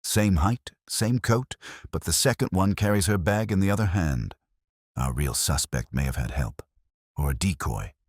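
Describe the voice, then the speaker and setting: Man acting a shrewd male inspector, very deep voice, measured and quiet, slightly husky, audio mystery. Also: expressive, no echo, clean studio sound. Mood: grave, deliberate. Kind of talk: audio mystery story dialogue.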